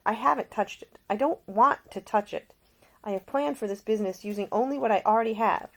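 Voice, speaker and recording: A woman's voice: on the high side, a little fast, fairly bright tone, voice pushed up. A woman voicing an independent, caring woman in love, soothing yet firm, in audiobook character dialogue. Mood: firm, determined.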